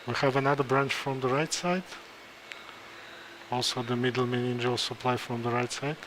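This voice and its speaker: Guy talking through a procedure live, halting, thinking out loud, noisy room behind him. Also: medium pitch, level tone. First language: Kazakh. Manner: halting, thinks aloud with pauses and restarts. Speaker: interventional neurosurgeon. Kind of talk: live commentary during a procedure.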